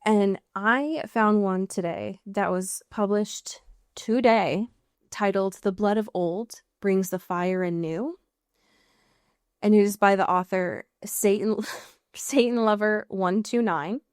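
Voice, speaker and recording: An American woman co-hosting a podcast in casual, comedic chat, riffing with mock exasperation and drawn-out emphasis. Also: mid-range voice, clear, resonant tone, no echo, studio quality. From US Pacific Northwest. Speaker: podcast co-host.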